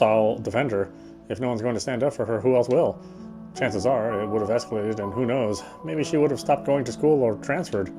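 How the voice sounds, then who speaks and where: A man reading a visual novel's narration aloud expressively, sometimes stumbling and going back over a phrase. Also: medium pitch. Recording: game music behind the voice.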